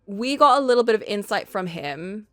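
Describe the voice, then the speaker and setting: A woman's voice: mid-range voice, expressive, normal speed, dry recording, faint music behind. Dry close-sounding woman streamer, casual commentary. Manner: conversational, opinionated, thinking aloud. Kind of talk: casual live-stream commentary on a story game.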